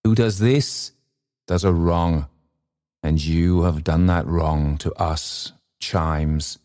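A deep, dark-toned phantom of a great bell, as a male narrator plays it. It speaks like a grave judge in a storybook, solemn and accusing, with slow and weighty phrases. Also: steady tone. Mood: grave reproach. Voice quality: low and deep. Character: stern goblin spirit of a great church bell. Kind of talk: dramatised storybook reading.

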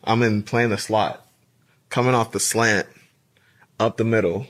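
A man in his twenties from the Bahamas, with a partly Americanized Bahamian accent, telling stories as a podcast guest in a relaxed way, full of "like". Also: on the low side, a little slow. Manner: laid-back storyteller, fills with "like". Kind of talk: casual chat.

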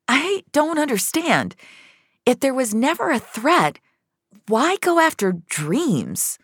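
A woman's voice: high voice, very animated, takes it slowly, a bit bright, breathy edge, dry recording, clean studio sound, pitched above the performer's own voice, full character voice. A woman acting a defiant, wounded fugitive woman in an audio drama. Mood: confused, urgent. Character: defiant, wounded woman on the run, dream-eraser.

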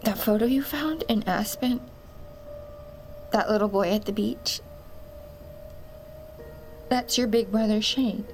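Slow, creaky-voiced anguished mother. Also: medium pitch, faint music behind. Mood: sorrowful, confessing. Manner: tender, halting, emotional. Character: anguished, protective mother confessing a family secret. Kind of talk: audio fiction acting.

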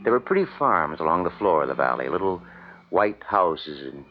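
An actor playing a weary, guarded father and war scientist in a 1950s radio drama, speaking in a measured, hesitant way. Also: sits low, very animated. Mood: somber, wistful. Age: middle-aged. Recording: muffled vintage radio broadcast, faint music.

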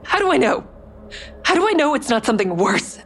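A woman's voice: sits high, very animated, fairly quick, a bit bright, heavily acted. An actress in an audio drama playing an exhausted, defiant woman who tells of her ordeal in a grim, blunt way. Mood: desperate, defiant. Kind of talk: first-person dramatic narration in audio drama.

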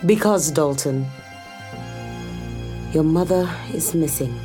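A woman's voice: low-pitched, takes it slowly, over music. An actress playing a blunt, commanding woman in a sci-fi audio drama, with a direct, cutting way of speaking. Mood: blunt, urgent.

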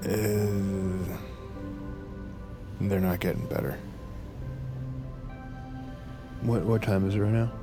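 Rambling, jittery American assassin, low-key narrator. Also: male voice, steady tone, music in the background. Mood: subdued. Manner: halting, self-interrupting, wry. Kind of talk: audio drama acting and first-person narration.

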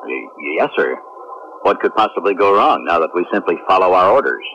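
A man's voice: sits low, very animated, a little fast, sharp tone, full character voice. A man acting an obedient, curious clone crewman in a 1970s radio drama, formal and deferential. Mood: polite, curious. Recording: muffled 1970s radio drama recording, faint noise.

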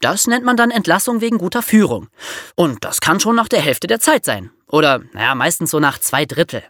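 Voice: mid-range voice, sing-song, a little fast, a bit bright, no room sound, clean studio sound. A podcast co-host, explaining things in an informal, conversational way.

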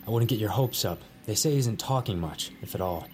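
An actor playing a matter-of-fact government official briefing an agent. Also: male voice, lowish voice, expressive, fairly quick, in a large hall, faint music behind, natural voice. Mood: dry. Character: dry, weary male government official.

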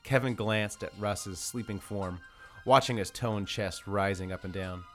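A man's voice: on the low side, unhurried, a bit bright, faint music behind. A man playing an earnest narrator in a dramatic reading of romantic fan fiction. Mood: tender, dramatic.

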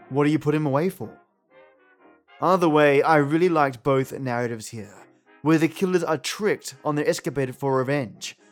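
Slightly high-voiced, playful male podcast host. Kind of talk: chatty commentary on old radio stories.

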